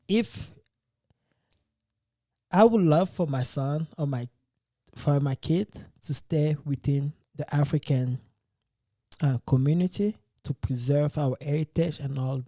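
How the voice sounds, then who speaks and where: A man's voice: mid-range voice, slow, long pauses, dark tone, dry recording, studio quality. A man hosting a casual podcast debate, speaking earnestly and hesitantly, often restarting his phrases. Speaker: podcast host.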